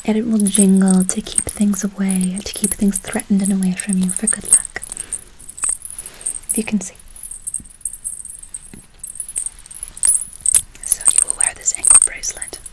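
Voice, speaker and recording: Seeking a woman to play a tender woodland witch for ASMR: breathy whisper, soft dark tone, lulling repetition, slight background noise. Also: mid-range voice, little pitch movement, moderate pace, long pauses, close to an everyday voice, low-quality recording. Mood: soothing, mysterious. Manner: soothing, repetitive, endearments, lingering on words. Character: gentle, affectionate woodland witch casting a good luck spell.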